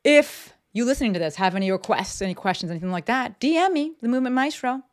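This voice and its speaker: A woman's voice: fairly high pitch, lively intonation, quick pace. Brief: woman, solo podcast host at her desk, frank and profane, chatty with plenty of fillers. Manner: blunt and profane, lots of fillers. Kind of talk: casual solo podcast talk. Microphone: desk microphone.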